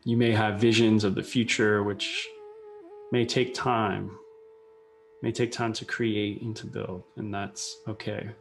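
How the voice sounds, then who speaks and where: A man leading a guided meditation, speaking in short unhurried phrases with pauses between them. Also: on the low side, level tone, creaky. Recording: soft music behind the voice. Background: meditation music.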